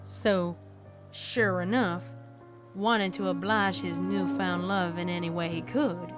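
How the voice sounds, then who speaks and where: A woman voicing a deadpan cowgirl pony who tells a spooky tale in a folksy, dramatic way for a fan fiction audio story. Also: lowish voice, slow. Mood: grave, ominous. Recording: background music under the voice.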